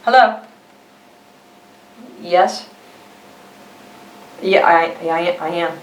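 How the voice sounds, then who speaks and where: Slow-paced woman hosting in an echoey room. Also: lowish voice. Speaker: read-along host. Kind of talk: casual chat to viewers. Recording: echoey room, distant mic, faint noise.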